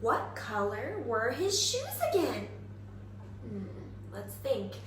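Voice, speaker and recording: The recording rings with strong room echo over faint noise. A woman with a high voice reads and teaches for young children as a kindergarten teacher. Her delivery is exaggerated and very lively, inviting listeners to answer. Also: takes it slowly. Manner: expressive, exaggerated, prompts listeners with questions. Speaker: kindergarten teacher reading aloud. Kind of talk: animated children's read-aloud and simple lesson.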